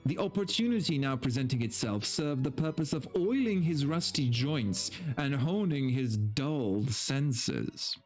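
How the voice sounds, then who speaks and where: A man giving a dramatic, deliberate story reading, dropping into casual wry asides. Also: medium pitch, expressive, a little mellow, soft background music. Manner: theatrical, deliberate, breaks off into casual wry remarks. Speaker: streamer reading aloud.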